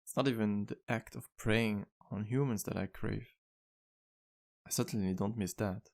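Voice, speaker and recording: Sweet, courteous male vampire barkeep played by a man for ASMR roleplay: deepish, heavy vocal fry, intimate and sincere. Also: fairly even intonation, moderate pace. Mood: troubled, longing. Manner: soft-spoken, polite, earnest, flirtatious. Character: charming, sweet male vampire bartender, earnest and wistful. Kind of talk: ASMR roleplay, intimate one-sided dialogue to listener.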